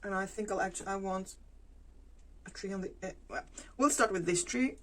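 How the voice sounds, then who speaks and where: A woman, talking through her crafting casually, thinking aloud with pauses and fillers. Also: medium pitch, a little hiss or hum. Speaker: planner vlogger. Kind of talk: casual plan-with-me narration while crafting.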